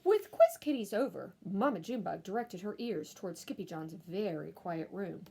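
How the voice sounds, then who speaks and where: A woman teacher reading a picture book aloud to young children in a theatrical way, with hushed asides. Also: medium pitch, sing-song, normal speed, creaky, husky. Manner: theatrical, dropping into whispered asides to young listeners.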